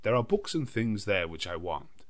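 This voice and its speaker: Male narrator as older professor, commanding, deliberate, ominous, emphatic, audiobook character. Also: on the low side, sing-song, voice pushed up. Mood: commanding, urgent. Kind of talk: dramatised audiobook character dialogue.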